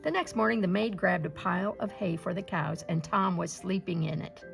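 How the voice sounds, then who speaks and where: Woman reading a kids fairy tale out loud, lots of vocal fry, soft music in the back. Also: lowish voice, normal speed. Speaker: storyteller. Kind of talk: children's story read-aloud.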